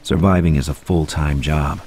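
A man's voice: extremely low pitch, fairly even intonation, normal speed, a little mellow. An actor playing a weary hard-boiled male protagonist, narrating a noir game in voice-over. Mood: brooding. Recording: game soundtrack with music under voice.

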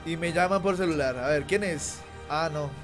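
A man's voice: a little high, moderate pace. A young Colombian man with a Colombian accent, chatting and joking with viewers as he plays a video game at home. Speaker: streamer. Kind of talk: casual gameplay commentary. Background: game music.

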